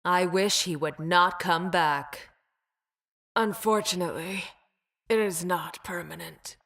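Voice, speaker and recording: A cold, cat-like female bioweapon from a video game. She speaks slowly at a medium pitch, with measured, exact diction. Her manner is formal, clinical and superior, detached from those around her. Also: pushed and bright. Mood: detached, dry. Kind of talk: video game character voice lines.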